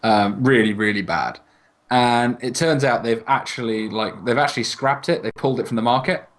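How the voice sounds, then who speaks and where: A man's voice: mid-range voice, moderate pace. Wry man chatting about games. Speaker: podcast co-host. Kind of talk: casual gaming podcast chat.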